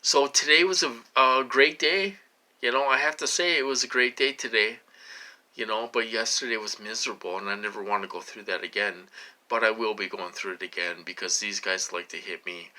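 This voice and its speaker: Sharp-edged, halting man in his late forties. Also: lowish voice. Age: late 40s. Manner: repetitive, halting, dwelling on questions. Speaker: vlogger. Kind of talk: rambling personal monologue.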